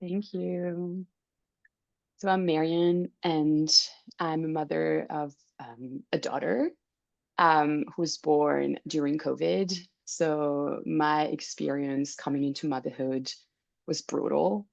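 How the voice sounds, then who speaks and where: A low-voiced woman with a gravelly edge speaks slowly and earnestly. She laughs often as she talks in a casual way. The sound is dry and close, as on a video call. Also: steady tone, a little mellow, no room sound, highs cut off. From France. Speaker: circle facilitator.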